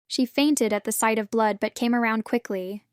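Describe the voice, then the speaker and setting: A woman reading English example sentences slowly and clearly for a language lesson. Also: a little high, steady tone, dry recording, clean studio sound.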